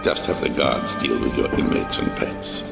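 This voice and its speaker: A ruthless game villain rumbles extremely low and gravelly. He gives orders with imperious, formal menace, his voice echoing under loud music. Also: fairly even intonation, normal speed, heavily acted. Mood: stern anger. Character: cold, commanding male villain master, ruthless. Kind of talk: video game cutscene acting.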